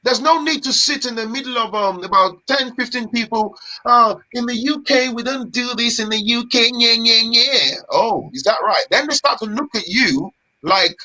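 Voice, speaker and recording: A man from Nigeria, a guest in a casual chat, talking in an animated, emphatic way with street slang. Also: very high-pitched, moderate pace, poor audio.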